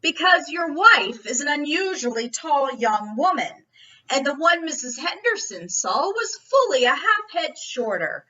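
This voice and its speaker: A woman's voice: high-pitched, big pitch swings, unhurried, sharp tone, dull audio, full character voice. A woman acting a brash, folksy police captain in an old-time radio play, slyly probing with mock-casual questions. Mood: needling, insinuating. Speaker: actor in an amateur radio play.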